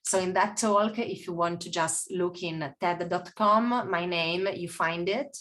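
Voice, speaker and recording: A woman with a lowish voice presents a webinar on nature coaching. She speaks slowly and hesitantly, stopping often to search for words. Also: fairly bright tone. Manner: hesitant, many fillers, non-native phrasing.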